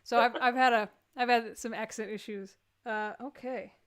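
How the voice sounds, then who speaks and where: A woman's voice: on the high side, fairly even intonation, moderate pace, a bit bright. A woman with a Texan accent hosting a live stream, chatting casually and joking in rambling asides. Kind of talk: casual comedic chat.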